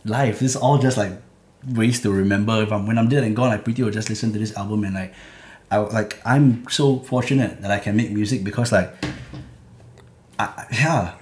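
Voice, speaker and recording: A man's voice: lowish voice, moderate pace, a little mellow, echoey room, slight background noise. A man with a Singaporean accent, a hip hop artist talking earnestly and passionately in a podcast conversation, often restarting his sentences.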